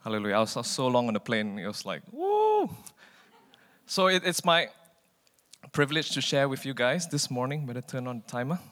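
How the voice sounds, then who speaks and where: A man from Malaysia giving a guest sermon in a relaxed, chatty way, often repeating a word as he starts a phrase. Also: medium pitch, brisk, in a large hall. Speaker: guest preacher. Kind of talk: informal sermon.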